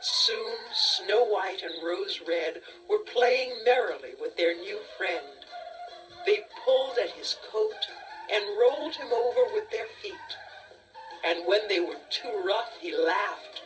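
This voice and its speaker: A woman narrating a children's story from a script in a deliberate, expressive storytelling manner. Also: medium pitch. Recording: distant cassette audio with music.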